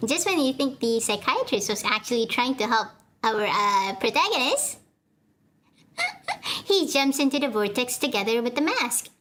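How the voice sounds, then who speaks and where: A woman's voice: on the high side, very animated, unhurried, fairly bright tone. A woman streamer reading a comic aloud in chatty, giggly commentary to her chat. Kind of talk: casual readalong commentary.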